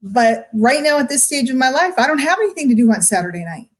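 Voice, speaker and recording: A middle-aged woman speaking emotionally and candidly to her online followers, confiding and emphatic, with drawn-out words and pauses. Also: high-pitched, lively intonation. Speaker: online marketer addressing followers. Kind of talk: candid livestream monologue to followers.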